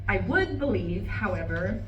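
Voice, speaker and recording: A woman's voice: medium pitch, lively intonation, measured pace, a bit bright, highs cut off. A woman acting a bitter, betrayed wife in an emphatic, indignant monologue at a live play reading. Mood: bitter, brooding. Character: bitter, betrayed wife venting to her plants. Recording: roomy, distant live recording, faint noise.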